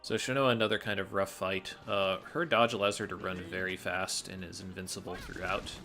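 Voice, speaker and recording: A man talks casually through a video game run, explaining as he plays. His voice is bright and sits a little low. Faint game music plays behind him. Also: fairly even intonation, a little fast. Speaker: speedrunner commentating on own game run. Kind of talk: casual explanatory gameplay commentary. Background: fighting game music.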